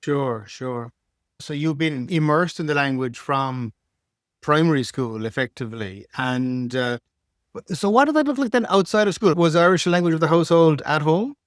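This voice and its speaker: A man's voice: a little high, animated, normal speed, no echo, clean studio sound. A man hosting a podcast interview, asking eager questions with many stammered restarts. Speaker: podcast host. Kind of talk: interviewer, casual chat.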